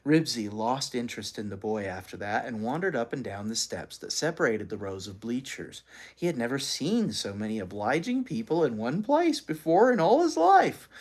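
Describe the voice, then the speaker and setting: A storyteller reading a children's book aloud in an animated, expressive way, acting out the characters. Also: mid-range voice, moderate pace. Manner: animated, acts out characters' lines.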